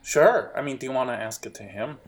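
A man narrating a tabletop role-playing game as game master, halting with fillers and restarts. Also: mid-range voice, expressive, crowd noise.

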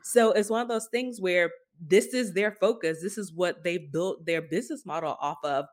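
A woman's voice: on the low side, moderate pace. A woman co-hosting a podcast, talking through news in a casual, informal and wry way. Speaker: podcast co-host. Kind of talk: casual tech news discussion.

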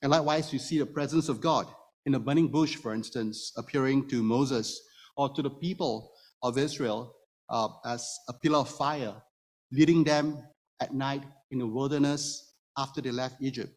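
A man who is a native Hokkien speaker, preaching an explanatory sermon to a congregation and putting rhetorical questions to his listeners. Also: medium pitch. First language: Hokkien Chinese. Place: church room before a congregation. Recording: reverberant hall, long echo.

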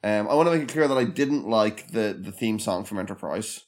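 Guy on a podcast, lowish voice, dry and kinda hesitant. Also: expressive, fairly quick, darkish tone. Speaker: podcast co-host. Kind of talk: casual chat.

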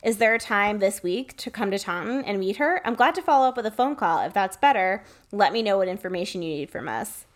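A woman podcast host talking casually in an animated, chatty way, like someone telling a friend a story and dramatising it. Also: medium pitch, brisk, hardly any pauses. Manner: animated, chatty, reading emails aloud dramatically.

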